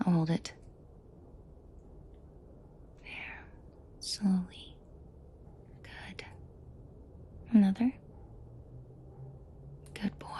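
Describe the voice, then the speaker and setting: A woman playing a commanding, protective mafia boss in an intimate roleplay audio drama, shifting between cold authority and soft coaxing. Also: mid-range voice, vocal fry. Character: commanding female mafia boss, furious yet protective and tender.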